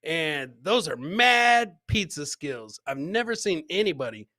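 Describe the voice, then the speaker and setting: A man's voice: fairly high pitch, very animated, measured pace, sharp tone, dry recording. A man in his forties hosting a live comedy clip show in a casual, jocular, profane way, repeating his phrases, close on a podcast mic. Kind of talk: casual livestream chat. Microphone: close podcast mic on a boom arm, headset.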